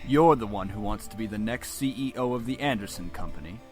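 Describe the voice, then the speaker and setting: A man playing a stern, cold businessman father in a visual novel, curt and measured. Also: fairly low pitch, darkish tone, faint music behind, lightly acted. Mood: cold, probing.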